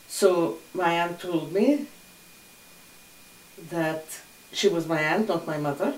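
A woman's voice: on the low side, a little mellow. Older woman recalling, distant and roomy. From Hungary. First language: Hungarian. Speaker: interviewee. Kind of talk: oral history recollection. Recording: roomy echo, distant mic.

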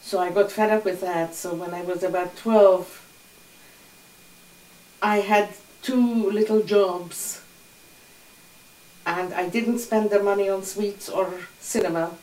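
A woman's voice: mid-range voice, steady tone, slow pace, pauses often, darkish tone. Older woman recalling, distant and roomy. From Hungary. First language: Hungarian. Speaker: interviewee. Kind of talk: oral history recollection. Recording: roomy echo, distant mic.

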